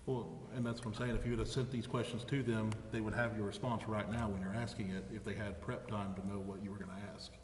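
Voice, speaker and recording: A man briskly chairing a public meeting as mayor in a plain-spoken way. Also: on the low side, level tone. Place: council chamber. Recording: echoey hall, distant, faint noise.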